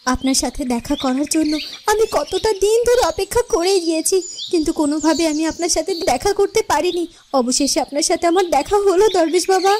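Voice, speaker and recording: Determined young fairy-tale heroine, played by a woman: extremely high voice, earnest, emphatic pleading, cartoon drama, faint background noise. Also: expressive, brisk, a little mellow. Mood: relieved. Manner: pleading, emphatic, repeats phrases. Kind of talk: dramatic Bengali cartoon voice acting.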